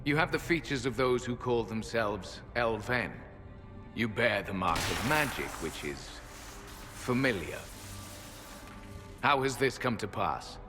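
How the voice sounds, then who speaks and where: A man's voice: medium pitch, expressive, a little slow, long pauses, lightly acted. An actor playing an ancient, stern male elven sentinel who speaks in a solemn, formal, cold way. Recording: long hall reverb. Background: faint music.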